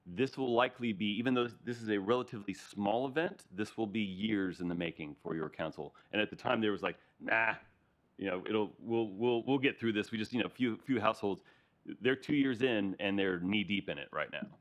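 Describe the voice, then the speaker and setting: A middle-aged American man from Arizona, a regional emergency recovery manager, presenting to a council meeting in a conversational way, often adding "right?". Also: on the low side. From Arizona, USA. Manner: conversational, frequent "right?" tag questions. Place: council chamber, large meeting room.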